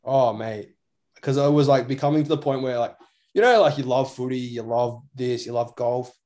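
A young man with an Australian accent chats as a podcast co-host. His pitch is average for a man. He rambles in a loose, matey way, full of slang. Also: steady tone, moderate pace, darkish tone. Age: young adult. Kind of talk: casual chat.

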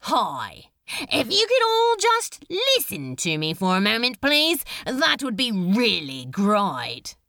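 Woman doing a cheeky loud troublemaker guy in an audiobook, breezy and showy, swings all over. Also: fairly high pitch, huge pitch swings, takes it slowly, creaky, dry recording, clean studio sound, exaggerated voice. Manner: casual, mock-apologetic, loud, drawn to showmanship. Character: brash, breezy, mischievous male troublemaker addressing a crowd. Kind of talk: acted audiobook dialogue.

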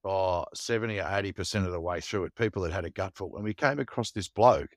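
Low-voiced man telling stories. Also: fast, no room sound, clean studio sound. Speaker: co-host. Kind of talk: casual interview chat.

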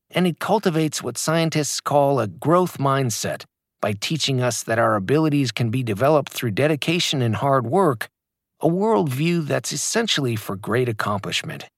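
A man's voice: medium pitch, sing-song, normal speed, no room sound, clean studio sound. A man reading his own fitness audiobook aloud, emphatic and motivational in delivery. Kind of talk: scripted audiobook narration.

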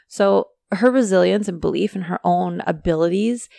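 A woman hosting a casual motivational podcast, talking chattily to her listeners while her voice is going hoarse. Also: fairly low pitch, moderate pace, mellow, no echo, clean studio sound. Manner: chatty, motivational, addresses listeners as you guys. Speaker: podcast host. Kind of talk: casual solo podcast talk.

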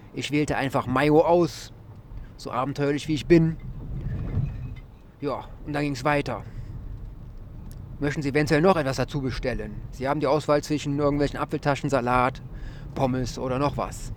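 A man's voice: medium pitch, slight background noise. A man hosting his own podcast, telling a wordy, mildly ironic story in a casual monologue. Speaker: podcast host.

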